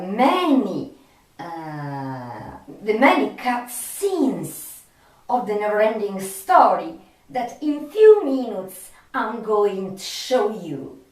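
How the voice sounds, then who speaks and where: A woman hosting a fan video, talking off the cuff in halting, drawn-out phrases with emphatic bursts. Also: medium pitch. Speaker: video host. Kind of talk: unscripted fan commentary to camera. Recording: echoey room, distant microphone.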